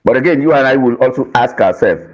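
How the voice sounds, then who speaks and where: Measured man on dull lo-fi audio. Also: mid-range voice, animated. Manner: measured, careful wording. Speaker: panel guest, commentator. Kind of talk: political panel commentary.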